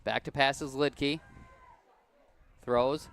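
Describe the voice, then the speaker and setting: Slow, calm man calling football. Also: mid-range voice, creaky, clean tone. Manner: calm, unhurried play calling with easy laughs. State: voice worn and cracking. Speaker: play-by-play announcer. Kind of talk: high school football commentary.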